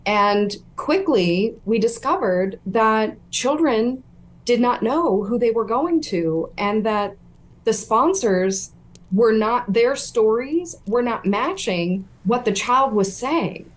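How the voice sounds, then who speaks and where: A woman's voice: on the high side, animated, takes it slowly. A middle-aged woman, a guest telling her account earnestly and emphatically over a video call from a room at home. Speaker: whistleblower guest.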